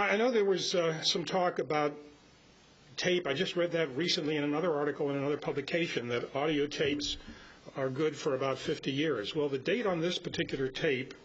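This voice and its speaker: A man in his seventies giving an informal, halting, rambling talk to a club meeting. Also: on the high side, a bit bright, husky. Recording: muffled live meeting audio with hiss.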